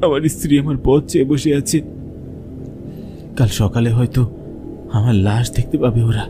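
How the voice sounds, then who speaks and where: A man's voice: mid-range voice, normal speed, over music, close to an everyday voice. A man voicing a terrified, newly married man in a horror cartoon, fearful and pleading. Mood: despairing dread.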